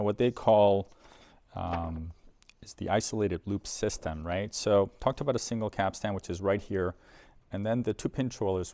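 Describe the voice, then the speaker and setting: Very deep-voiced man with gravelly fry. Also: darkish tone, dry recording. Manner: informal, explanatory. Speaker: presenter for an audio equipment seller. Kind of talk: casual product demonstration.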